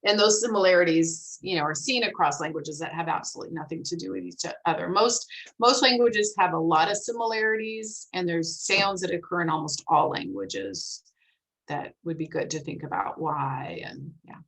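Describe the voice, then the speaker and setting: A woman's voice: on the low side, lively intonation, normal speed, a bit bright, poor audio. A woman hosting an online group discussion in an encouraging, explanatory way, talking casually like an academic. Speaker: host of a phonetics club meeting, academic.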